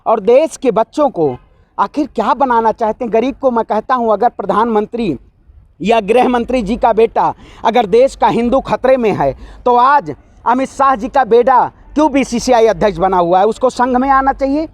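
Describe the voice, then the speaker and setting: A man speaks in a very high voice, recorded dry. He is combative and emphatic, delivering a heated political rant as an interviewee and repeatedly challenging the listener with rhetorical questions. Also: moderate pace, no room sound.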